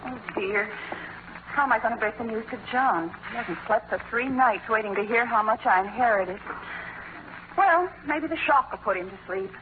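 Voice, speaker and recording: An actress playing a querulous, self-pitying wife who badgers and dramatizes, in 1940s radio comedy style on an old recording. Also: female voice, fairly high pitch, normal speed, natural voice. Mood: plaintive, dismayed. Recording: old radio, tinny narrow band, noisy.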